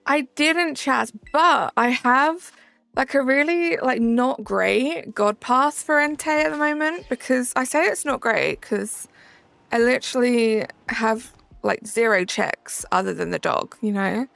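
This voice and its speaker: A woman's voice: a little high, measured pace, creaky, a bit bright, dry recording. Chatty southern English woman streamer. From Southern England. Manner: chatty, answers chat with fillers like "um" and "like". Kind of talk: casual live-stream chat reading comments.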